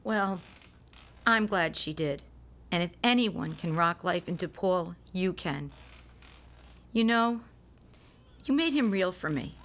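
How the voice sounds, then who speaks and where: An actress playing a tender, fragile young mother of a sick newborn in a radio drama, earnest and expressive. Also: fairly low pitch, slow, long pauses. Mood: tender, grateful.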